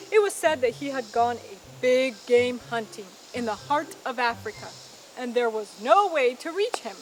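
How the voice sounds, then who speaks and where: A woman's voice: high-pitched, a little hiss or hum, poor audio. A woman storyteller narrating a scripted bedtime story for children.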